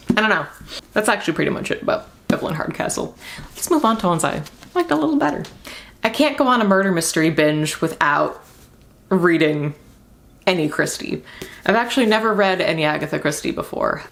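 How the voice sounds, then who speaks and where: A woman's voice: on the low side, gravelly, fairly bright tone. A young woman giving a casual, rambling book review as a vlogger, speaking candidly and informally with emphatic repeated words.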